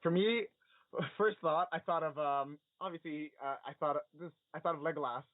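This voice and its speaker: A man's voice: a little high, moderate pace, dry recording. A man co-hosting a podcast in casual movie chat over a video call, speaking in an informal, hesitant, rambling way. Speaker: podcast co-host. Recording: thin phone-like video call audio.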